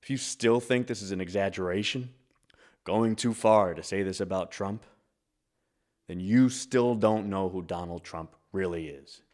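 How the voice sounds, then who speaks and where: A man reading scripted political narration. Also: low voice.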